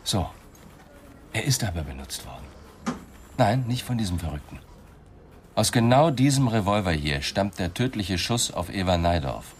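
An actor playing a dry, persistent police inspector in a radio crime drama, questioning with sceptical insistence. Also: male voice, deep voice, expressive, moderate pace, pauses often, slight background noise. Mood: sceptical, pressing.

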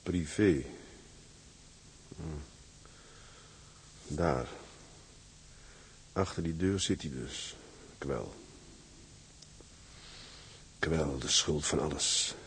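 A man's voice: very deep voice, drawn-out words, long pauses, heavily acted. A man acting in a Dutch radio drama as an embittered, hot-tempered sea captain who broods and flares up. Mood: brooding, bitter. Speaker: actor. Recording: hissy, noisy old radio recording.

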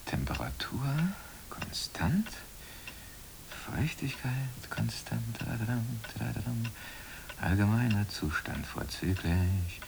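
A fussy, absorbed researcher in a radio drama. He has a fairly low voice and mutters over technical words, with faint noise in the background. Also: sing-song, slow pace, vocal fry, full character voice. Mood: absorbed, muttering.

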